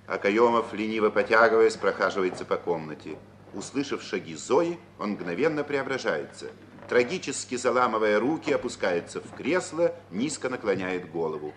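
A man narrates an audio play in a fairly low voice. His scripted, dramatic reading moves expressively up and down, on an old recording with faint background noise. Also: big pitch swings, normal speed, fairly bright tone.

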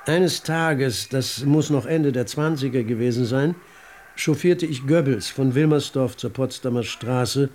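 An actor playing a male writer recalling his past in measured, matter-of-fact memoir narration for a radio drama. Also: medium pitch, a little mellow, lightly acted. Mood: reminiscing. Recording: faint background noise.

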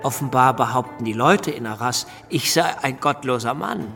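A man playing a reserved, earnest revolutionary deputy in a radio play, quiet, measured and creaky. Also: medium pitch, animated. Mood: earnest, subdued. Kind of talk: radio drama acting. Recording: long hall reverb, music underneath.

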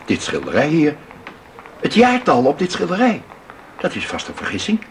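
An actor playing a fussy, excitable museum visitor who exclaims and muses aloud in a radio drama. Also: male voice, on the high side, normal speed, noisy background. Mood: startled, insistent.

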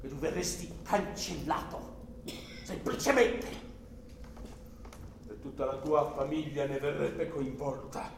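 An actor playing an older, overbearing, anxious country uncle in a theatrical, emphatic and volatile radio drama style. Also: male voice, on the high side, takes it slowly, pauses often. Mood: grave, reproachful. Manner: emphatic, volatile, swinging from hushed to exclaiming. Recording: roomy echo, faint background noise.

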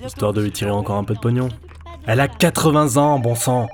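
A man's voice: mid-range voice, brisk, a little mellow, soft background music, close to an everyday voice. A man playing a disillusioned but principled insurance adviser and gamer, world-weary and plain-spoken, in an audio drama. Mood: indignant, sarcastic. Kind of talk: scripted audio-drama acting and narration.

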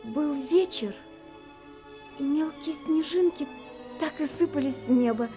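Faint music sits behind a young woman in a literary radio play. She is high-pitched and very slow, dreamy and softly wondering, as she voices a romantic letter. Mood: tender wonder.

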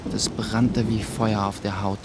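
An actor playing a young, guarded mill apprentice who narrates a radio play in a reflective, restrained way. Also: male voice, fairly low pitch, flat delivery, measured pace, heavy background noise. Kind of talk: scripted first-person narration in a German radio play. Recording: music behind the voice.

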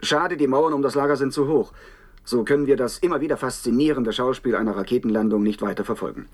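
An actor as a measured state TV reporter. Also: male voice, medium pitch, close to an everyday voice. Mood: composed, observant. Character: male TV reporter narrating a state broadcast from a camp. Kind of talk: live broadcast commentary in a radio play.

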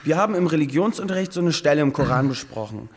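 A man's voice: a little high, lively intonation, quick pace. A man playing a cheeky, provocative teenage schoolboy and class clown, cocky and slangy in classroom drama dialogue. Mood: earnest, defensive.

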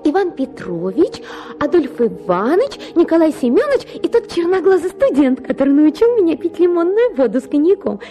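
Capricious young wife playing the invalid, extremely high voice, melodramatic sighs, radio drama over music. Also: female voice, big pitch swings, normal speed, warm tone. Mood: dreamy, melodramatic. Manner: affected, sighing, melodramatic. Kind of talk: dramatized literary short story for radio.

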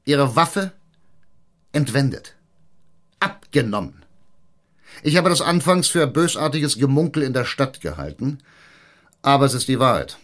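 An actor playing a cunning, domineering mayor in a German radio drama, emphatic and sardonic. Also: male voice, medium pitch, very animated, a little slow, pauses often, a bit bright. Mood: stern, interrogating. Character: blustering, cunning small-town mayor, crude and domineering.

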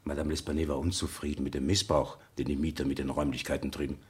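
An actor playing a deliberate French tobacconist who gives formal, pondering testimony in a German radio play. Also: male voice, a bass voice, little pitch movement. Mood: deliberate, recollecting.

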